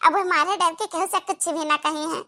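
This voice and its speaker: Someone doing a sly, bright-voiced young cartoon sister-in-law. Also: very high-pitched, very animated, a little slow, full character voice. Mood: gloating. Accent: Awadhi. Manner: scheming, boastful. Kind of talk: exaggerated cartoon comedy acting.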